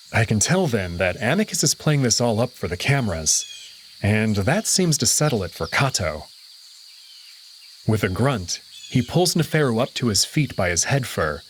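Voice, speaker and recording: A man narrating a visual novel in the first person with an expressive, dramatic reading. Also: mid-range voice, a little hiss or hum.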